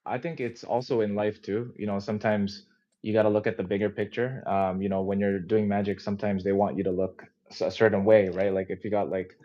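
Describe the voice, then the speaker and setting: A low-voiced man hosts a podcast in a loose, rambling way, full of fillers and restarts. His voice is mellow and warm, and it comes through a dull, muffled lo-fi recording. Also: little pitch movement, fairly quick. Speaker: podcast host. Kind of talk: casual interview chat.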